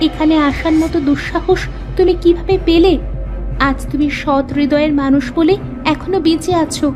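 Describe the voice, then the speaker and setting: Woman as a high-voiced imperious water fairy. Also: fairly even intonation, moderate pace, over music, natural voice. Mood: stern challenge. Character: female water fairy, stern but benevolent guardian. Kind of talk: cartoon voice acting.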